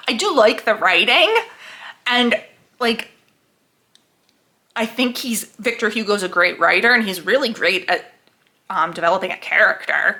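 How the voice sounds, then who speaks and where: A young woman chats to camera about the books she is reading, with a bright, sharp tone and a lively, swooping pitch. She laughs often and acts out her own thoughts in quick asides. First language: English. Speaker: book vlogger. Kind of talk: casual reading update to camera.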